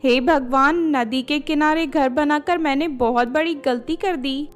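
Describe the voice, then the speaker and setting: A woman's voice: high voice, measured pace, soft background music. A woman voicing a spiteful, dramatic young stepdaughter in a cartoon moral story. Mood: panicked, desperate. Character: spiteful, selfish young stepdaughter, hot-tempered, later panicked.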